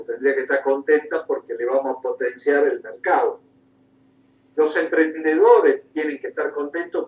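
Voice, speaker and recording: A man's voice: mid-range voice, darkish tone. A man with an Argentine Spanish accent giving an impassioned political lecture, emphatic and oratorical, with repeated phrases and rhetorical questions. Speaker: guest lecturer, political activist. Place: indoor room, recorded at a conference. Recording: roomy, distant mic, dull sound.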